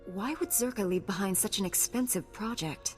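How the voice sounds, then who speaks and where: A woman's voice: mid-range voice, moderate pace, big-room sound, close to an everyday voice. A woman playing a composed, direct heroic knight in a video game. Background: music.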